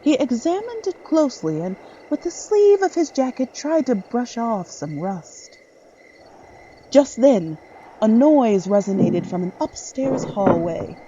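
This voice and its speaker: A woman narrates a dark audio drama with expressive, swooping intonation. The sound is slightly muffled, with faint noise behind her. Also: fairly high pitch, measured pace. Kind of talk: dramatic scripted horror-story narration.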